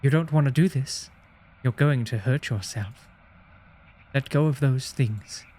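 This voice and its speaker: Streamer voicing a halting, pleading, wounded monster man. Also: medium pitch, mellow, lightly acted. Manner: halting, stammering, drawn-out. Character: wounded, lonely male monster, pleading and bitter.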